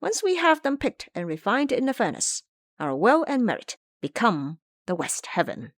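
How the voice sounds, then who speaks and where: A clever monkey monk acted out by a woman teaches his master in an audio drama. The delivery is emphatic and didactic, leaping up and down in pitch. The sound is dry and close. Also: very animated, moderate pace, no echo. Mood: earnest teaching. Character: clever, lecturing male monkey disciple monk.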